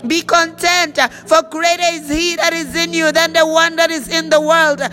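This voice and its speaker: Woman leading prayer, high bright voice, even and steady, repetitive fervent declarations. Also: moderate pace, a little hiss or hum. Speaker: prayer leader. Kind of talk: spoken prayer with speaking in tongues.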